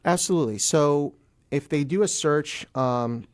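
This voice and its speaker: A man's voice: on the high side, measured pace, darkish tone. A man speaking conversationally as a guest attorney on a talk show, explaining things emphatically and repeating key phrases.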